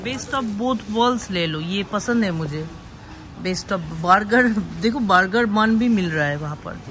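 A woman's voice: medium pitch, expressive, slow. A woman vlogging while shopping, chatting casually and describing each item she picks up, filmed on a handheld phone in a big warehouse store. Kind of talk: casual shop-with-me vlog narration. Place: large indoor warehouse store. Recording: echoey warehouse with loud store noise.

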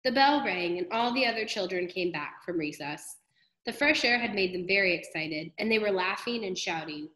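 A woman reads a children's storybook aloud in an echoey room. Her narration is expressive and deliberate, with a mid-pitched voice.

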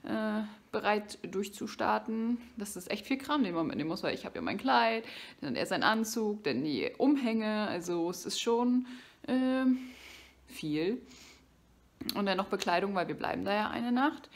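A woman's voice: mid-range voice, animated, moderate pace, vocal fry. A young woman chatting casually to her vlog in speech, rambling with sarcastic asides. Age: young adult. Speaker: vlogger. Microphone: phone or camera at arm's length. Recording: noisy handheld vlog audio.

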